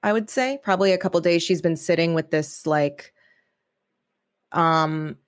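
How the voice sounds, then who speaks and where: A woman's voice: lowish voice, measured pace, clear, resonant tone. A woman speaking as a podcast guest in a casual interview, informal and tentative in how she talks. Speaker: podcast guest, audio drama writer.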